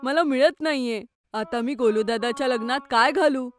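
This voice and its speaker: A woman's voice: high-pitched, moderate pace, slight background noise, close to an everyday voice. A woman voicing a curious, polite young boy in a children's cartoon. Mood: curious, worried. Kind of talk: cartoon voice acting. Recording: music under the voice.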